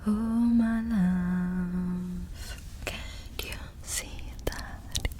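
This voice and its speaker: A woman doing ASMR, singing softly and gently in a breathy voice that often drops into a whisper. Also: fairly low pitch, fairly even intonation, drawn-out words, slight background noise. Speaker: ASMR performer.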